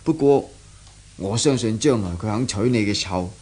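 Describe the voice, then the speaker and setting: A man acts out an indulgent middle-aged father in a 1970s Cantonese radio play. His voice is fairly low and husky, with a Hong Kong accent. He is wry, patient and gently teasing. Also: moderate pace, lightly acted. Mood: sceptical, wry.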